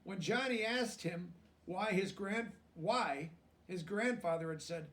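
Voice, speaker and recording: High-pitched man reading aloud, distant from mic. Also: a bit bright. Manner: pauses to explain words and check understanding in plain language. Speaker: storybook reader teaching children. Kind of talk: children's read-aloud with explanatory asides.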